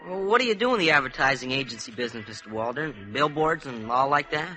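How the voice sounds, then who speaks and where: A man's voice: medium pitch, expressive, fast, pushed and bright, poor audio. A male actor playing a brash, nosy youngster in a 1950s radio drama, slangy and cheeky. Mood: brash, curious. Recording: vintage 1950s radio, music behind.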